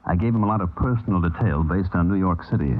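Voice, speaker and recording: An actor playing an undercover government agent who passes as a polite reporter, in old radio drama style. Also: male voice, low voice, fast. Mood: confidential, businesslike. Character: eager, deferential undercover agent posing as newspaper reporter. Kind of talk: 1950s radio drama acting. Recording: noisy old narrow-band radio recording.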